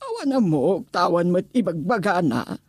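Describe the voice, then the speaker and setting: An actress playing a doting, scheming middle-aged auntie in a radio drama, with a husky voice. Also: female voice, fairly low pitch, sing-song, normal speed, darkish tone, no echo, exaggerated voice. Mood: indulgent.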